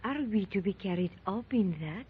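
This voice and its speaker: A woman's voice: mid-range voice, expressive, normal speed, a little hiss or hum, close to an everyday voice. An actress playing an anxious young woman who asks worried questions in a 1930s radio drama. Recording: dull old 1930s radio recording.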